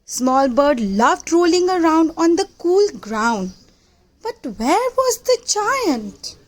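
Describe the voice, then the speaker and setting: A woman reading a picture book aloud to young children, with simple deliberate phrasing and questions to the listeners. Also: very high voice, sing-song. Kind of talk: children's read-aloud storytelling.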